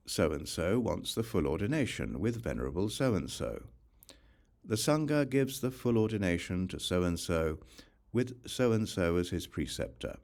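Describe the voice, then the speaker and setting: A male narrator with a very low voice reads a religious text aloud as an audiobook. His delivery is measured and formal, with a lively, varied melody across each phrase. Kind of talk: scripted narration of a scripture translation.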